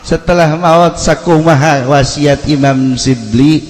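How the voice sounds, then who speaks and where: A man with a Sundanese accent preaching as a storyteller, humorous and theatrical, acting out dialogue and swinging between hushed and loud delivery. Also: a little high, slow. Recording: echoey hall with long reverb.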